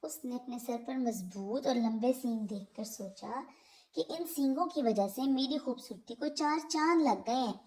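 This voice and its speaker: A woman narrating scripted moral stories for children in a deliberate storybook manner. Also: on the high side, darkish tone.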